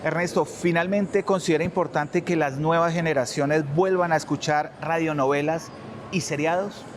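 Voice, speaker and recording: A man's voice: fairly high pitch, fairly even intonation, normal speed. A man with a Colombian accent interviewing in a formal, respectful manner. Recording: clear outdoor traffic noise.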